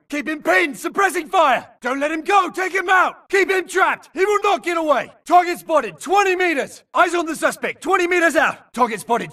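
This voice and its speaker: An actor playing an urgent, alert infantry grunt in a video game, shouting clipped military callouts in battle. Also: male voice, very high-pitched, recorded from a distance, heavily acted. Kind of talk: shouted combat barks.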